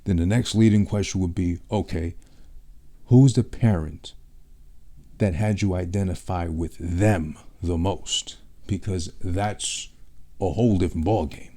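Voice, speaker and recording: A man's voice: low-pitched, a little slow, dark tone. A young man from Peru with a New York accent, rambling through a casual monologue with blunt, profane talk. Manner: rambling, thinking aloud.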